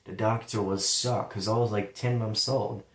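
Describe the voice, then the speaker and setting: Ohio-accented man, lowish voice, casual rambling storyteller, occasional W-for-L slip, sits back from the mic. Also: steady tone, normal speed, a bit bright. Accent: central Ohio. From London, Ohio, USA. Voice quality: sometimes turns L into a W sound. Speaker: vlogger telling his life story. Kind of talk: personal storytelling monologue. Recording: roomy, distant from the mic.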